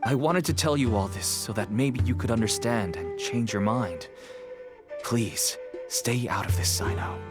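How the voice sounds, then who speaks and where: A man's voice: mid-range voice, a little slow, over music, close to an everyday voice. An actor playing a worried middle-aged merchant father who speaks in an earnest, weary, pleading way.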